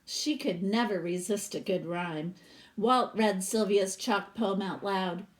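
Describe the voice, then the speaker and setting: A woman reading a children's picture book aloud like a teacher, animated and stopping for asides and questions to young listeners. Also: medium pitch, takes it slowly. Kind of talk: expressive storybook read-aloud with asides to children.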